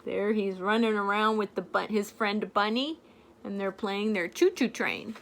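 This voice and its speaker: An older woman reading a picture book aloud to a child in a gentle, playful way, pointing out the pictures as she goes. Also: medium pitch, unhurried, gravelly, slight background noise. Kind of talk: storybook read-aloud to a child.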